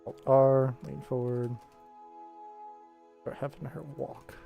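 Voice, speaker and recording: A man's voice: medium pitch, level tone, slow pace, creaky, muffled recording. Man muttering over music on a work stream. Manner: rambling, muttering to himself while working. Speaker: animation director livestreaming his work. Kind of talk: casual thinking-aloud work stream. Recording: background music behind voice. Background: game soundtrack music.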